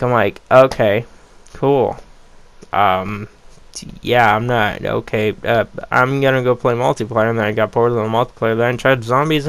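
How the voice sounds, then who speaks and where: A man's voice: mid-range voice, no room sound. A young man ranking upcoming games in a casual vlog, blunt and rambling with a lot of swearing, his voice a little worn. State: losing his voice. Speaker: gaming YouTuber.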